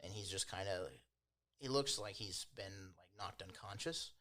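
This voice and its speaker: Low-voiced man narrating a tabletop game. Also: moderate pace, pushed and bright. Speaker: game master.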